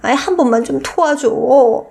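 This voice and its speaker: A woman voicing a shameless, scornful old mother-in-law who wheedles and pleads with emphatic, drawn-out words in a radio story. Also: high voice, gravelly, a little mellow, voice pushed up. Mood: defensive wheedling. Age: older.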